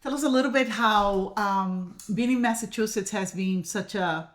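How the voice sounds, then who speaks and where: A woman's voice: medium pitch, moderate pace. A woman co-hosting a casual podcast, chatty and effusive as she talks with her co-host and guest.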